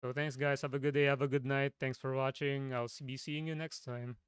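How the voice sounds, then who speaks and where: A man with a low, smooth, clear voice rambles through a casual chat, stopping and restarting his thoughts. His voice is a little tired, and it is picked up close and dry at a home computer desk. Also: flat delivery, fairly quick, no room sound. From Philippines. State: voice tiring. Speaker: streamer.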